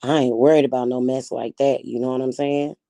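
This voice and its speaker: A woman's voice: a bass voice, fairly even intonation, fairly quick, creaky, dark tone, no room sound. A woman in her forties with a Kansas City Midwestern accent, chatting casually with viewers on a livestream in a rambling, slangy, frank way. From Kansas City, Missouri. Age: 40s. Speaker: livestream host reading chat. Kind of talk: casual chat.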